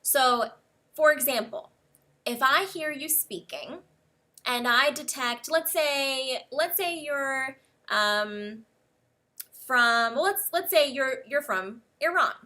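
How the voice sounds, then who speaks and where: An American woman teaching English one-on-one, explaining words slowly and spelling them out letter by letter. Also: sits high, expressive, pushed and bright.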